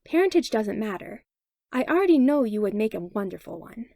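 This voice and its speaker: A woman voicing a protective adult mother who speaks gently and soothingly to a child. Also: a little high, big pitch swings, fairly quick, gravelly, dry recording, clean studio sound. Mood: gentle.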